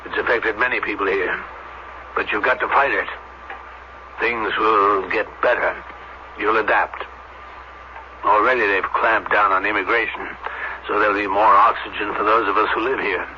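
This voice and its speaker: An actor playing a wary, irritable doctor on a space colony in an old-time radio drama, brusque and commanding. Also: male voice, fairly low pitch, fairly even intonation, moderate pace, pauses often, pushed and bright. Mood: measured, professional. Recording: muffled, noisy 1970s radio broadcast.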